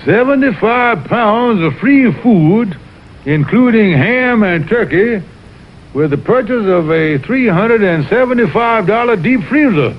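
High, blustery Southern colonel. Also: male voice, expressive, unhurried, a little hiss or hum. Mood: scheming. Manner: pompous, wheedling, folksy. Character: blustery scheming Southern colonel, cartoon animal con artist. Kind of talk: vintage cartoon voice acting. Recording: dull old TV cartoon soundtrack, music behind.

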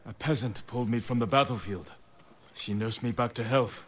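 An actor playing a brave, courteous samurai warrior in a video game, measured and earnest. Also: male voice, mid-range voice, close to an everyday voice. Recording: game audio with ambient noise behind.

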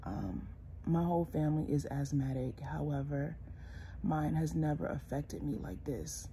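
A young woman lying in bed and talking casually to her phone camera, congested and hoarse, tired and soft-spoken, sometimes dropping to a whisper. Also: low voice, unhurried, a little hiss or hum. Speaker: vlogger. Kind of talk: casual personal vlog. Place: bedroom, lying in bed indoors. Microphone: phone camera close, held low.

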